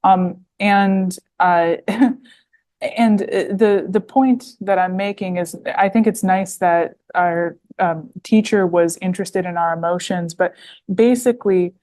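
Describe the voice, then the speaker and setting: A woman's voice: on the low side, fairly even intonation, unhurried, a little mellow. A woman, talking as a podcast guest, full of fillers and restarts. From United States. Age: 20s. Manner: rambling, heavy with fillers and restarts. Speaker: guest author. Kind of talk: podcast interview.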